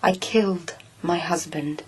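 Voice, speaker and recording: A woman's voice: lowish voice, steady tone, measured pace, slightly rough, rough recording. An actress playing a troubled, guarded noblewoman in a radio drama, speaking hesitantly and in a subdued way. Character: troubled royal-blooded lady, guarded, fragile.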